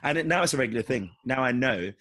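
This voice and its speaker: A tired British man with a husky voice, co-hosting a podcast in casual, teasing chat. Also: medium pitch, lively intonation, fast talker, a bit bright. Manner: teasing, playful. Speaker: podcast co-host.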